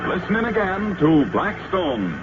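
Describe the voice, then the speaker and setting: A man's voice: high-pitched, big pitch swings, a little slow. A man announcing in the scripted style of a 1940s radio programme. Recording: muffled vintage radio recording with music.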